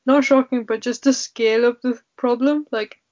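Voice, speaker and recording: A woman's voice: a little high, fairly even intonation, moderate pace, darkish tone. A young woman hosting a casual podcast with her sister, chatty and teasing. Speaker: podcast host. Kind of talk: casual sibling podcast chat. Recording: dull lo-fi podcast audio.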